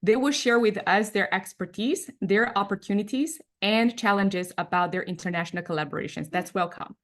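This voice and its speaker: A woman's voice: mid-range voice, moderate pace. A woman moderating an online panel, introducing speakers in a formal way. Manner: formal, announcing.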